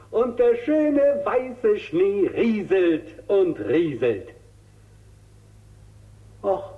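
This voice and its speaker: Gushing TV showman, high-pitched and swooping, lingering on words; thin radio-drama sound. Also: big-room sound, heavily acted. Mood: gleeful. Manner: gushing, savoring and repeating words. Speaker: TV presenter in a drama. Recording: tinny narrow-band radio drama recording.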